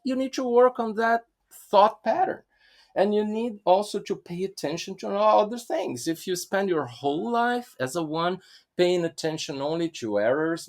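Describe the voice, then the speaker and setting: Man teaching, halting and thoughtful. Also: sits high. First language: Portuguese. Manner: thoughtful, halting, with many restarts and fillers. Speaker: podcast co-host, Enneagram teacher. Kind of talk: conversational teaching talk.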